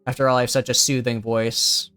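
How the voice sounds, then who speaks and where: A man's voice: medium pitch, level tone, fairly quick, sharp tone, clear, resonant tone, dry recording. A young man streaming a game, chatting casually and rambling as he thinks aloud, with a youthful-sounding voice. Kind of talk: casual gameplay commentary.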